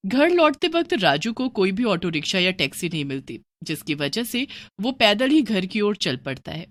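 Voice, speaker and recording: A woman's voice: medium pitch, sing-song, moderate pace. A woman narrating a scripted horror story for a cartoon, in a storyteller's style.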